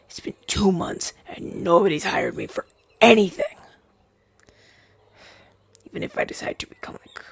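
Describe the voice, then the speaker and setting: A man's voice: on the high side, vocal fry, a bit bright, slightly rough, full character voice. A young man playing a chatty, down-on-his-luck crow demon, once a witch's familiar, in a hesitant, stammering roleplay audio drama. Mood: dejected.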